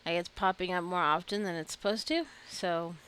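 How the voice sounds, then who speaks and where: A woman giving relaxed, chatty commentary while playing a video game, talking to the game characters and laughing often. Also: on the low side, moderate pace, a little hiss or hum. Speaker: gaming video creator. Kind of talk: casual gameplay commentary.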